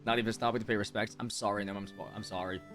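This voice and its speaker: A man's voice: lowish voice, steady tone, racing. A man giving casual live game commentary, musing aloud and joking in a chatty way. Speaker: game streamer. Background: game music.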